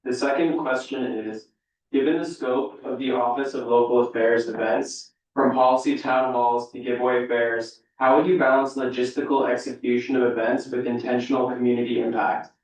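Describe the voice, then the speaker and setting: A young man moderating a public panel interview, relaxed and full of fillers. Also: mid-range voice, normal speed. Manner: relaxed, filler-heavy delivery. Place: hall with audience. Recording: echoey room, distant mic.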